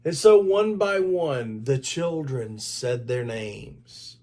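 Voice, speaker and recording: An older man reading a children's story aloud in a deliberate, expressive storytelling manner. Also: medium pitch. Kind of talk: scripted story narration read aloud to children.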